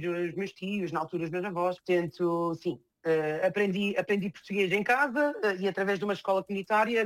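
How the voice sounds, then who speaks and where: A woman's voice: fairly low pitch, no room sound. A woman from New Jersey, an association president, speaking as an interview guest with frequent fillers. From New Jersey, United States. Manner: measured, with many fillers.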